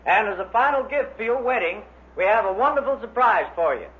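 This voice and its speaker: A man with a high voice makes scripted show announcements in a vintage style. The old recording sounds muffled and lo-fi. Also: expressive, brisk, bright, forceful tone. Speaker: broadcast announcer.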